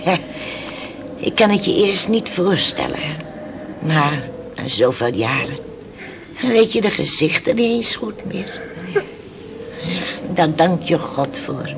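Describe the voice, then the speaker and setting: An actress playing a weathered, resigned fisherman's widow in a radio play, telling her story haltingly in hushed tones. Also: lowish voice, very animated, vocal fry, exaggerated voice. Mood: sorrowful, hushed. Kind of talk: Dutch radio play acting. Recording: noisy old radio play recording.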